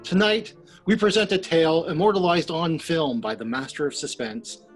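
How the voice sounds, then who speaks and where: A man's voice: on the high side, moderate pace, faint music behind, close to an everyday voice. A man playing a sly, ominous, droll host of an old-time suspense radio play, narrating theatrically.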